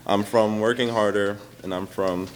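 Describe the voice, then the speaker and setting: A young man from Queens, a student haltingly reciting a personal spoken word poem. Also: on the low side, steady tone. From Queens, New York. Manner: halting, breaking into laughter. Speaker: student performer. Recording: noisy room with audible crowd.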